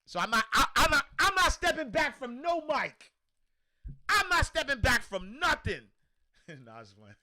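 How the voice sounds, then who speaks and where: A man with a New York accent hosting a livestream, loudly and energetically answering viewer comments in casual chat. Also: high voice. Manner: loud and energetic, reads comments aloud. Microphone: desk microphone.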